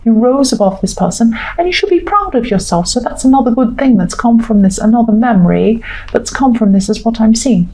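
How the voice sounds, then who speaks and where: A woman giving a rambling, reassuring tarot reading with a slightly croaky voice. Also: fairly high pitch, expressive, a little fast, a little hiss or hum, rough recording. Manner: gentle, reassuring, rambling with self-corrections. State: croaky, clearing throat. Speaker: tarot reader. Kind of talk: casual tarot reading monologue.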